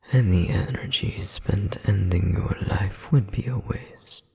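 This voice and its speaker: A man's voice: sits low, a little mellow, full character voice. A man playing a cold, domineering demon nobleman scolding as an older brother, with haughty, formal menace in an ASMR audio roleplay. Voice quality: breathy, gravelly half-whisper. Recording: long cavernous reverb.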